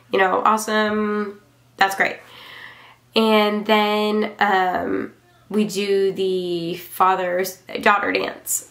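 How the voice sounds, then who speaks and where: A woman in her twenties chats to camera in a small room at home that adds a clear echo. Her voice has a lot of fry at an average pitch. She rambles along offhandedly, as if telling a story in conversation. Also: a bit bright. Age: 20s. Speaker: vlogger reviewing own wedding. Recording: roomy indoor echo.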